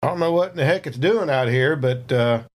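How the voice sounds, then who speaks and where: A man voicing a folksy, bumbling space trucker in a tabletop game, excitable and stumbling over words. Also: medium pitch, a little fast, close to an everyday voice. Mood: flustered.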